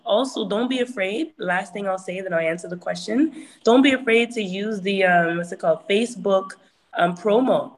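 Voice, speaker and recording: A woman speaking casually as a musician sharing practical tips. She talks in a loose, chatty way, filling her sentences with "you know" and "like". Her pitch sits in an ordinary middle range. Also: poor audio. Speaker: musician giving advice. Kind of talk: casual advice talk.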